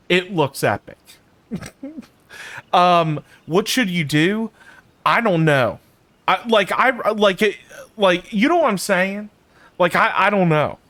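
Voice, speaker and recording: A man livestreaming a game and chatting casually with his viewers in an informal, halting, repetitive way. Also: high voice, animated, a bit bright. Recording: faint game music behind. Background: faint game music.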